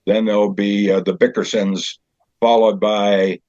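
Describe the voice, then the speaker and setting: A man explaining a theater show as its director in an interview, in a conversational, halting way. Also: fairly low pitch, flat delivery, darkish tone, no room sound. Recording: dull, lo-fi sound.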